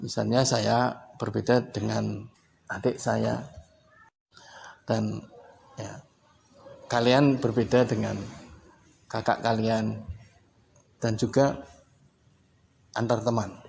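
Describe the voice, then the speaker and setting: A man from Indonesia explaining a language point in a casual teaching manner, unhurried and in short phrases. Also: on the low side, little pitch movement, gravelly, a little mellow, slight background noise. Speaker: English teacher.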